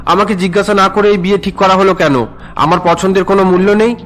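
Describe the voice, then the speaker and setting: A man's voice: high-pitched, fairly even intonation, fast talker, fairly bright tone. An actor voicing a resentful young man, an unwilling groom in a cartoon, whiny and indignant. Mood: protesting.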